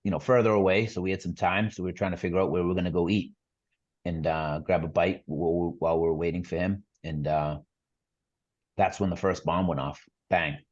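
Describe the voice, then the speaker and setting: Boston guy in his 40s, real deep voice, easygoing storyteller, kinda dull lo-fi sound. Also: a little fast. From Boston area, USA. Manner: relaxed, chuckling, many fillers. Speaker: podcast guest. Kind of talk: casual storytelling.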